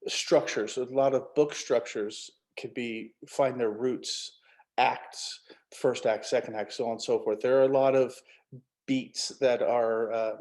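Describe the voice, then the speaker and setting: A man giving halting explanations as a guest in a casual discussion. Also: mid-range voice, fairly even intonation, a little mellow. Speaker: guest author.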